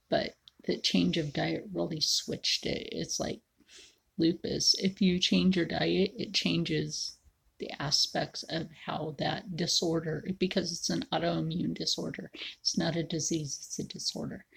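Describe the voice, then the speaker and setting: A woman in her forties chatting casually to viewers while she draws, often sinking into a low whisper. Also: flat delivery, vocal fry, breathy edge. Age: 40s. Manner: slow, frequently drops into a whisper. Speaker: video creator. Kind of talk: casual livestream chat while drawing.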